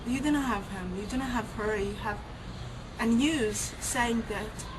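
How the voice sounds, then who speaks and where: A woman from Gaza gives earnest, halting interview testimony. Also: fairly high pitch, very noisy. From Gaza, Palestine.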